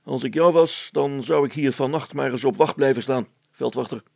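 A man voicing a firm schoolmaster who speaks deliberately and repeats himself with ominous, knowing insistence in a story. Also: medium pitch, vocal fry, breathy edge, no room sound. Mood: ominous, insistent.

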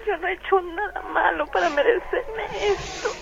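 Over a muffled, slightly noisy phone line, a woman speaks in a high voice. She has an Ecuadorian accent and is giving personal testimony for a radio interview. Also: moderate pace, vocal fry. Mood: distraught. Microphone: telephone.

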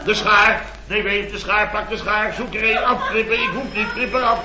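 Man acting blustering high-voiced boss, old radio comedy. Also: fairly even intonation, normal speed, noisy background, natural voice. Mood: frantic, exasperated. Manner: indignant, sputtering, repeats himself, pompous. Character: blustering, exasperated male boss of a building firm. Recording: dull old radio recording, roomy, studio audience.

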